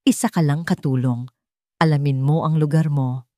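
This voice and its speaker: A woman narrator playing a haughty, hot-tempered rich bride with a Filipino accent, sharp and commanding, in an audio story. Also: deep voice, fairly even intonation, normal speed, no room sound, studio quality, close to an everyday voice. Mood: furious.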